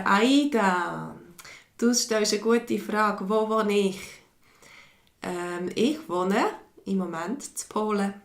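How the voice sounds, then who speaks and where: A woman's voice: mid-range voice, vocal fry. A Swiss woman with a mixed Swiss German accent, giving a patient online language lesson and answering viewers' questions. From Switzerland. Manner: slow, patient, reads viewers' comments aloud. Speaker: language teacher. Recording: echoey room.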